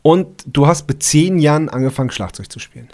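A man's voice: a little high, a little fast, a little mellow. A man hosting a casual interview podcast in speech, often repeating words as he searches for phrasing. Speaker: podcast host.

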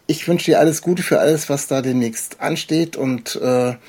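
A man hosting a podcast in a casual interview, talking in a halting, rambling way with a husky voice. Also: on the high side, darkish tone. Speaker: podcast host.

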